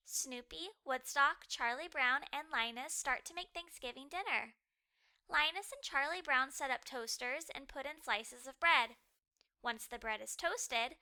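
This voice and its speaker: A woman reading a children's story aloud as a storyteller, with expressive, emphatic delivery. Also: sits high. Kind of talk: scripted storybook narration.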